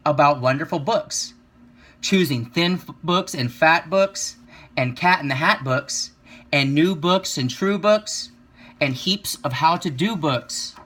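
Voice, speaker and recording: A man reading a children's picture book aloud, with expressive, rhythmic delivery and drawn-out emphasis. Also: fairly high pitch, a little hiss or hum. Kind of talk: children's story read-aloud.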